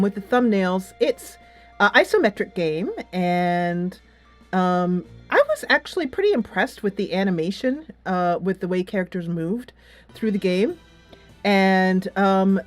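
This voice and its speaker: A woman hosting a casual game review, talking in a chatty, loosely structured, emphatic way. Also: medium pitch. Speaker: game reviewer and channel host. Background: music.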